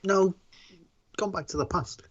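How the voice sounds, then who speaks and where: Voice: medium pitch, a little slow, husky, muffled recording. A performer voicing a grumpy, put-upon man in a comedic script read-through. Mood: flat, resigned.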